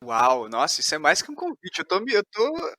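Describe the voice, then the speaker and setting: Host voice: an eager man with a bright, forceful tone, warm to his guests. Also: fairly high pitch, huge pitch swings, brisk, no echo, studio quality. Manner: enthusiastic, effusive. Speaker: podcast host. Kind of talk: podcast interview.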